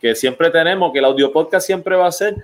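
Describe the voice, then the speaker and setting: A man's voice: fairly high pitch, a little fast. A man in his forties hosting a sports podcast, chatting in a friendly, teasing way. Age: 40s. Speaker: podcast host. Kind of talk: casual sports chat.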